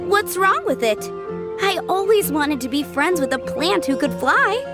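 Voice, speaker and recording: A dreamy, childlike young florist in a game. She sounds airy and giggly, with a very high, lively voice. Game music plays behind her. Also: measured pace, full character voice. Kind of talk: game voice acting.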